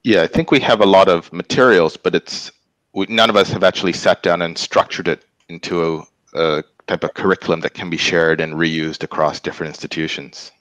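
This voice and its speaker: A man in his forties with a very deep, low voice speaks on a video call. He sounds close and dry, with no room echo. He explains things in a measured way, pausing to think. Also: fairly even intonation, fairly bright tone. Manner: measured, thinking aloud with pauses. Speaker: community organizer. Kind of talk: casual video call discussion. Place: small room at home. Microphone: video call, laptop mic.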